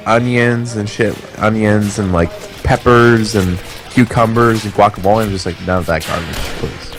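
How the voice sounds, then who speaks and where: Low-voiced man rambling casually over game music. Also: normal speed. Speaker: co-host of a game stream. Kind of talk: casual chat over gameplay.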